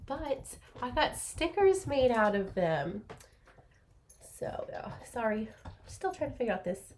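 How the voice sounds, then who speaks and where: A woman's voice: fairly high pitch, very animated, a little slow, slight background noise. Chatty congested woman on a laptop mic. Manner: chatty, rambling, many ums and restarts. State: congested from allergies. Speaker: craft podcast host. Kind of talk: casual solo chat to camera. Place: small home office. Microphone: built-in laptop mic.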